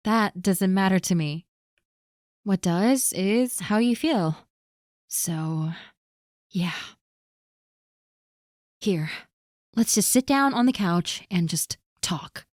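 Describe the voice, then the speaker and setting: A woman performing a scripted audio roleplay, gently and earnestly reassuring a friend who is spoken to directly. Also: medium pitch, expressive, takes it slowly, long pauses, no echo, clean studio sound.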